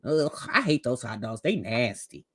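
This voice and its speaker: Woman, American, lively varied pitch, gossipy, sassy, casual host commentary. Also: moderate pace, husky. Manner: animated, sassy, conversational. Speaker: host reacting to a family vlog.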